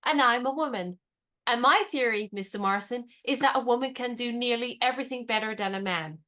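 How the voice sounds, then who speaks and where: An actress playing a spirited, competitive young woman in a radio play, brisk, teasing and confident. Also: a little high, bright, forceful tone.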